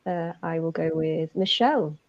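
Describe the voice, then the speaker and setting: A woman's voice: low voice, moderate pace. A woman hosting a livestream Q&A in casual chat, recorded with a slightly dull, lo-fi sound. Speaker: livestream Q&A host.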